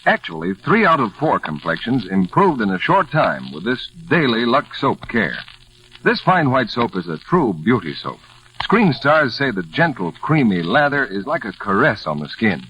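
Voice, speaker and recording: A man works as a radio announcer, selling in polished 1940s ad style. His pitch swings widely, and the old recording is muffled with faint noise. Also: very animated, normal speed, fairly bright tone.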